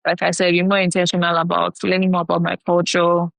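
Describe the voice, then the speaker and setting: A young Nigerian woman with a Nigerian accent, co-hosting a podcast, talking haltingly and hedging as she searches for words. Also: fairly low pitch, completely flat delivery, creaky, fairly bright tone, no echo. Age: young adult. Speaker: podcast co-host. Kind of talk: casual chat.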